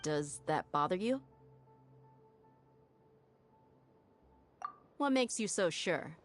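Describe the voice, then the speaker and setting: A woman's voice: lowish voice, animated, normal speed, soft background music, lightly acted. A woman playing a tough, fair-minded mercenary in a video game, speaking in a confident, wry, matter-of-fact way. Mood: wry, thoughtful. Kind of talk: video game character acting.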